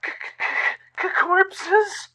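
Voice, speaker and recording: A man's voice: very high voice, little pitch movement, extremely slow, bright, forceful tone, voice pushed up, full character voice. A man voicing an anxious young filly pony who talks herself through her fears in a radio play. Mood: terrified. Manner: stammers when scared, talks to herself.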